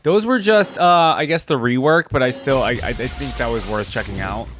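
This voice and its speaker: A man's voice: mid-range voice, normal speed, a bit bright, faint music behind. A man hosting a reaction video, commenting casually and thinking aloud as he goes.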